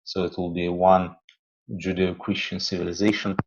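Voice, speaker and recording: A man with a Russian accent answers in halting English, with fillers and restarts as he gathers his thoughts. His voice sits very low and carries a rough, gravelly fry. The recording sounds dull and lo-fi. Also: little pitch movement, slight background noise. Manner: halting, searching for words, many fillers. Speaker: interview guest, poet and theologian. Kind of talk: conversational interview answers.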